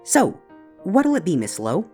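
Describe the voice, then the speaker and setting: A woman as a lively, teasing unicorn barista. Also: on the low side, measured pace. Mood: cheeky. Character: elegant unicorn tea shop barista, female, cheerful and teasing. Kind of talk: scripted story dialogue. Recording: music bed behind the voice.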